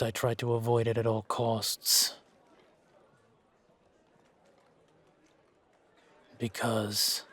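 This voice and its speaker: Slow-talking, world-weary monster hunter for fantasy audio roleplay, male actor, flat delivery, laconic and musing. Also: mid-range voice, natural voice. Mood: wary, dry. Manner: laconic, musing, measured with pauses. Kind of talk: fan-made roleplay audio drama, unhurried monologue to a companion.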